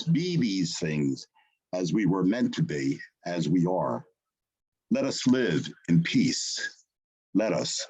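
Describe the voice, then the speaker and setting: Deep-voiced man, slow husky incantatory spoken-word reading over a video call. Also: a little mellow, poor audio. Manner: solemn, incantatory, drawn-out phrasing. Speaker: poet reading.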